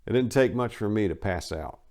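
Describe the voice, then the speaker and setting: Low-pitched man narrating a story. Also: expressive, brisk, mellow. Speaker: narrator reading a book. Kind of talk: dramatic first-person audiobook narration.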